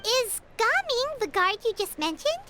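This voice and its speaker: A woman voicing a tiny, excitable, greedy, childlike fairy companion in a video game, with a squeaky voice. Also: measured pace, background noise, full character voice. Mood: chatty. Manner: speaks of herself in third person. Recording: music behind the voice.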